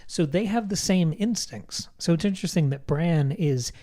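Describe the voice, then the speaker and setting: A man's voice: on the high side, expressive, normal speed, dark tone. A man co-hosting a conversational book discussion, speaking in a reflective, articulate way. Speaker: podcast co-host.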